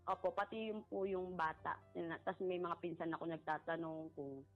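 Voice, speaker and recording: A middle-aged woman, giving a personal account in a polite, halting way and often repeating herself. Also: on the low side, fairly even intonation. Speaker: caller seeking advice. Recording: muffled lo-fi voice over background music.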